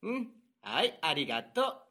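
A man's voice: a little high, very animated, moderate pace, sharp tone, a little hiss or hum, voice pushed down. A man putting on a doting middle-aged mother in an improvised radio drama, soothing and gushing over her son. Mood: encouraging. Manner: soothing, gushing, pep-talking.

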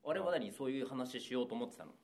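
A man chatting casually as a radio co-host, reacting with quick repeated interjections. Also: medium pitch, animated, a little mellow. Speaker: improv performer, radio show co-host. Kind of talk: casual free talk.

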